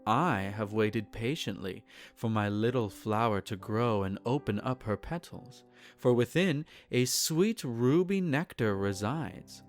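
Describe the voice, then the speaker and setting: A man's voice: mid-range voice, lively intonation, unhurried, soft background music, lightly acted. A man playing a possessive, teasing vampire in an intimate roleplay audio, speaking in formal, silky tones and courtly endearments. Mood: adoring, poetic. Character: possessive, teasing, courtly male vampire, centenarian yet youthful. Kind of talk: intimate roleplay audio, scripted character acting.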